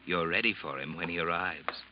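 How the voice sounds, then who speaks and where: Deep, sardonic mystery narrator played by a man. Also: a little fast, a little hiss or hum, close to an everyday voice. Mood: sardonic, ominous. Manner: sardonic, knowing. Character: omniscient sardonic mystery narrator addressing the villain. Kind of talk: 1940s radio mystery narration. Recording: dull old radio recording. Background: music.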